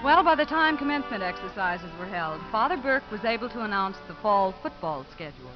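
A man's voice: sits high, sing-song, fairly quick. A man narrating a 1950s radio drama in a scripted storytelling style. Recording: muffled 1950s radio recording over music. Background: music.

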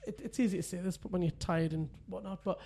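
A man's voice: fairly even intonation, fast talker, gravelly, dark tone. A man, a podcast co-host telling a parenting story in casual chat, often laughing. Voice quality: says he has a deep voice.

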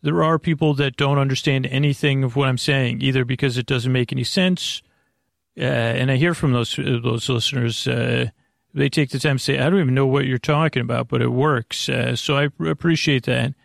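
An American man hosting a sleep podcast, talking in a meandering, hesitant, reassuring monologue with a gravelly voice. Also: medium pitch, fairly even intonation, normal speed, no room sound, studio quality. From United States. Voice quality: creaky, gravelly. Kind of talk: rambling casual monologue.

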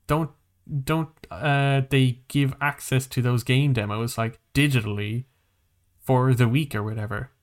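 A man's voice: medium pitch, fairly even intonation, measured pace. Male podcast co-host, mid-range voice, loose conversational delivery that circles and rephrases as it goes. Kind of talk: casual podcast chat.

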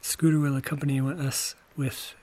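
A man speaking in a soft, gentle, breathy whisper as he introduces a bedtime podcast. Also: mid-range voice, little pitch movement, normal speed. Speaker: podcast host introducing an episode. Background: music.

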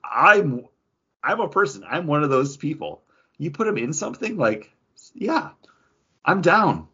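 A man's voice: fairly high pitch, big pitch swings, unhurried, bright, forceful tone, breathy edge, dull audio. A man co-hosting a podcast in casual pop culture chat, rambling along and often quoting his own thoughts with plenty of filler "like". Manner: rambling, self-quoting, peppers talk with "like". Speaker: podcast co-host.

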